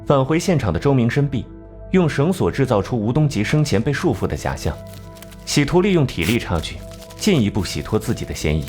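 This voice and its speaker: An actor playing a cool, sardonic genius detective in an animated crime drama, speaking in a measured, analytical way. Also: male voice, mid-range voice, natural voice. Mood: coolly explaining. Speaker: detective laying out a case. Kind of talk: animated crime drama acting, deductive exposition. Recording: music behind.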